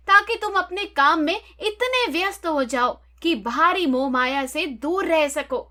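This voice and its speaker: A woman's voice: very high-pitched, bright, forceful tone, heavily acted. A woman voicing an exasperated young wife in a cartoon story, lecturing emphatically. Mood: indignant, lecturing.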